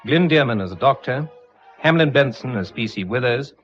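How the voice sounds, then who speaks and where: A man's voice: medium pitch, lively intonation, moderate pace, faint music behind. A man with an English accent reading formally as an old-time radio announcer. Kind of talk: formal scripted credit reading, mid-century radio. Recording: dull, muffled vintage radio recording.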